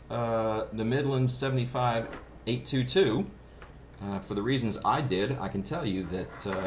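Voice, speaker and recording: A man giving a casual first-hand review in a chatty, enthusiastic, anecdotal way. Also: fairly low pitch. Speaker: hobbyist product reviewer. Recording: clearly noisy background ambience.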